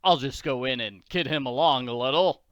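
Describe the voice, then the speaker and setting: Bluff middle-aged tycoon, read by a man; hearty and teasing, bright clear voice. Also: medium pitch, moderate pace, no echo, dull audio, natural voice.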